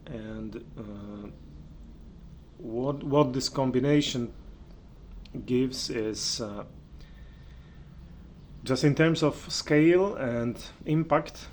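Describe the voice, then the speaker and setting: A man's voice: medium pitch, a little hiss or hum. A man gives an unscripted spoken review of audio equipment, speaking hesitantly and often searching for his words. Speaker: audio reviewer giving listening impressions.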